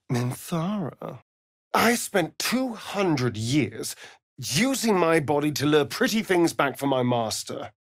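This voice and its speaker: An actor playing a centuries-old, flamboyant and sardonic vampire rogue in a video game, with an arch, theatrical drawl. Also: male voice, fairly high pitch, no echo, clean studio sound. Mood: bitter. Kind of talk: video game character acting.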